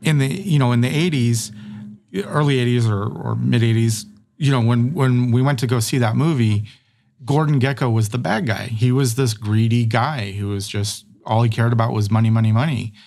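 Gravelly man rambling in conversation. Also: mid-range voice, moderate pace, darkish tone. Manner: rambling, many fillers and restarts. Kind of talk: conversational podcast discussion.

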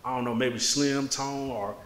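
A man's voice: mid-range voice, fairly even intonation, moderate pace, a bit bright. A man co-hosting a casual interview, asking a personal question carefully and with hedges. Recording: echoey room with hiss.

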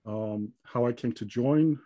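A man's voice: medium pitch, unhurried, dark tone, no room sound, dull audio. A man from Iceland in his thirties, answering interview questions in a hesitant way, with many ums and restarts. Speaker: guest.

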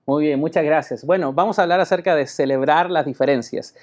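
A man's voice: a little high, brisk, darkish tone. Male pastor hosting a podcast, lo-fi sound. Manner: friendly, frequent affirmations.

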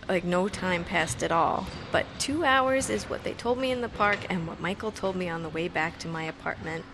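A creaky-voiced young woman mourns her brother in an audio drama monologue. She rambles haltingly and emotionally, with a self-dramatizing streak and middling pitch. A faint noise sits behind her. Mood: dazed, bitter. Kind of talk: audio drama monologue, naturalistic confessional speech.

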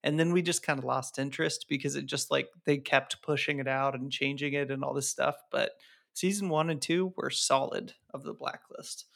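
A man's voice: medium pitch, fairly even intonation, moderate pace, gravelly, fairly bright tone. A man co-hosting a casual podcast, chatting in a laid-back, slangy way. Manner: slangy and laid back, says dude and bro. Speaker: podcast co-host.

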